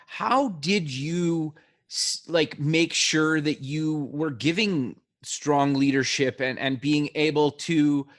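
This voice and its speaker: A man hosting an interview, asking long conversational questions. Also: fairly high pitch. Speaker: podcast host, interviewer.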